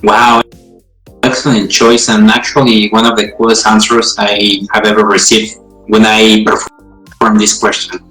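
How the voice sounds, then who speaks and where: Eager host in an echoey room. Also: male voice, lowish voice, little pitch movement, sharp tone. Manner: eager, repeats yes and okay, halting non-native English. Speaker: interview host. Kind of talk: interview questions. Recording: echoey room with faint music.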